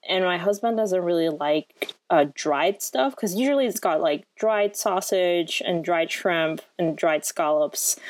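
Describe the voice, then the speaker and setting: A woman raised in France and Hong Kong, talking as an activist guest in a casual interview, with many ums and restarts. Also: fairly low pitch. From born in France, raised in Hong Kong.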